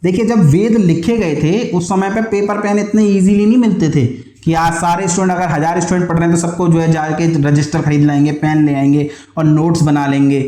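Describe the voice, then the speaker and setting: High-pitched man teaching, echoey room. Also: warm tone. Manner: explanatory, asks questions and answers them himself, repeats key terms. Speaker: teacher of exam preparation classes. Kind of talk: online history lesson with question and answer drilling.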